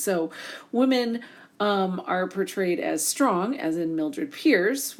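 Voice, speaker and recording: Lady teacher, a bit hoarse, kinda low voice, talking thru slides w lots of ums. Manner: casual, many ums, reads slides aloud. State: hoarse from a cold. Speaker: instructor. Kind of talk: recorded lecture over slides.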